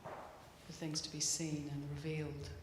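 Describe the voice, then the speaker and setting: A woman offers a calm, reflective remark in a lowish, even voice. The hall is roomy with a long reverb, and room ambience is clearly audible. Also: unhurried, noisy background. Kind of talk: reflective comment at a symposium.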